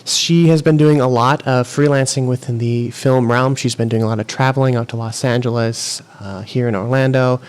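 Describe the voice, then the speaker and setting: A man's voice: medium pitch, fairly even intonation, normal speed, few pauses. A man hosting an interview podcast, introducing his guest with loose, filler-heavy phrasing. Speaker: podcast host.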